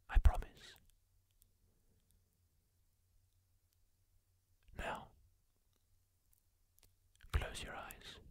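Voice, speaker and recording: A performer whispering in character as a kindly masked healer, slow and hushed, in an ASMR roleplay. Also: natural voice. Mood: soothing. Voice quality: whispered, gravelly and breathy. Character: kindly masked healer tending a wounded wanderer.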